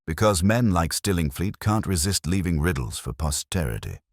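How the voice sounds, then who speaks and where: A man voicing a cold, evasive older male town official in a measured, formal, condescending way. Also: low voice, sing-song, no room sound, studio quality, full character voice.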